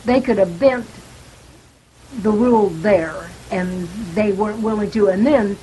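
A woman's voice: medium pitch, measured pace, mellow, poor audio. A woman giving her opinions in a casual group book discussion, often turning them into tag questions. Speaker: book club participant. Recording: faint hissing, sizzling line noise.

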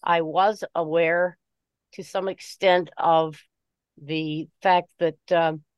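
A woman giving her opinions in an informal book discussion, speaking haltingly and deliberately as she searches for words. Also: fairly low pitch, steady tone, clean tone, dry recording. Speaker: book group member.

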